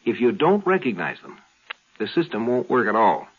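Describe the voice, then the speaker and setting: A man hosting a 1950s science fiction radio series, explaining ideas in a deliberate, philosophical way. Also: medium pitch, big pitch swings. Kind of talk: reflective spoken introduction, 1950s radio. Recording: thin, phone-like vintage radio recording.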